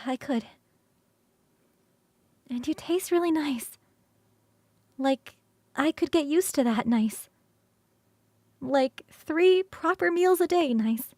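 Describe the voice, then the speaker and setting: A high, girlish succubus roommate, played by a woman in an ASMR roleplay, recorded close and dry. She is affectionate and playful, coaxing the listener with expressive, halting delivery. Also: dry recording, full character voice. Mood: teasing, pleased. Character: affectionate, playful succubus roommate, young-sounding, eager to cuddle. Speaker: streamer performing scripted roleplay.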